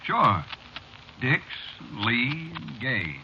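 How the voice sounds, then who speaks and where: An actor playing an easygoing, slyly ominous bartender in an old-time radio horror drama, with casual, folksy, needling talk. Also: male voice, medium pitch, sing-song, extremely slow, heavily acted. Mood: amused, needling. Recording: noisy, muffled old radio drama recording.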